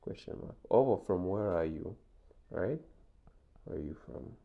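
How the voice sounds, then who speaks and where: Low gravelly-voiced man teaching Spanish. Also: animated, measured pace, phone-quality sound. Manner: patient, repeats points, frequent "okay?" checks. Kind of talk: classroom lesson walkthrough.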